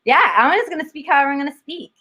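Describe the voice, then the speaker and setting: Young woman guest, reflective storyteller, halting delivery full of fillers. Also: high-pitched, expressive, sharp tone. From United States. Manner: reflective, many restarts and fillers. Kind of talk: casual conversation.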